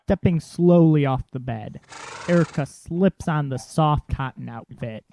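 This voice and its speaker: A man's voice: on the high side, unhurried, creaky, dark tone, slight background noise. A man reading scripted narration as the announcer and storyteller of an audio production.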